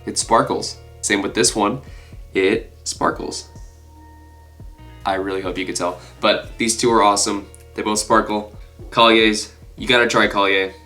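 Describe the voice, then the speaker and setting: A man's voice: on the low side, fairly even intonation, a little slow, pushed and bright, soft background music. A man in his twenties, congested with a cold, chatting casually to camera as he shows off a collection. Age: 20s. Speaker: video creator. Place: small furnished room indoors.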